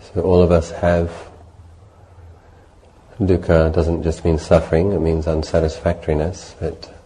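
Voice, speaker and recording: A man leading a guided meditation as a Buddhist teacher, speaking in a measured, gentle way with deliberate pauses between short phrases. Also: low-pitched, flat delivery, slight background noise, low-quality recording.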